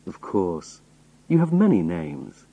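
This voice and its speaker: A man voicing a sinister old spy chief in a story, calm, polite and quietly menacing. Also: deep voice, takes it slowly, slightly rough, no room sound, narrow, tinny audio, heavily acted. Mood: smug calm.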